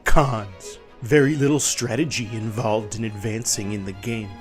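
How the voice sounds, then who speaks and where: A man's voice: lowish voice, steady tone, measured pace. Theatrical man reading over music. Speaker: narrator. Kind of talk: dramatic reading of negative game reviews. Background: background music.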